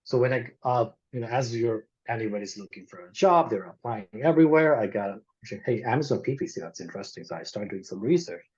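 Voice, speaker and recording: A man's voice: mid-range voice, fast, few pauses, slightly rough. A young man from Nepal with a Nepali accent, talking candidly and casually as an interview guest, with lots of "like" and "you know". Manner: relaxed, candid, uses "like" and "you know" often. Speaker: guest, e-commerce marketer. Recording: dull lo-fi audio.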